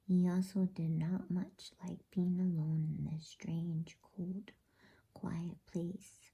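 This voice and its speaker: A woman reading a bedtime story aloud as ASMR, in a soft, slow and calm unvoiced whisper. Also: lowish voice, creaky, breathy edge.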